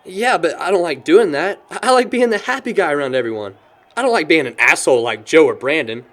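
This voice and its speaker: Animated, higher-voiced young American man acting. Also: brisk. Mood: apologetic. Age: young adult. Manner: chuckles often, animated storyteller. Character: cheerful young man, sentimental but worried about his sister. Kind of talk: audio drama dialogue.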